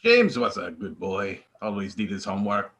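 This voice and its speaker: A man's voice: fairly low pitch, huge pitch swings, normal speed, fairly bright tone, full character voice. A man playing a proud, uncool older Haitian immigrant father with a Haitian accent in a comedy table read. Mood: proud, plain.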